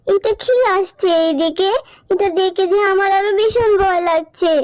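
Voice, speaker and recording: A woman's voice: extremely high pitch, moderate pace, darkish tone. A woman voicing a sweet, timid little village girl in a children's cartoon, with a childlike manner. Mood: frightened, tearful. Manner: childlike, plaintive. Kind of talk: Bengali children's cartoon dub.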